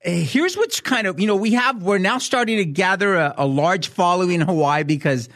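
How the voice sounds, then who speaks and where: A man hosting a talk show interview, warmly effusive and quick to exclaim praise. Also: sits high, animated. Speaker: host.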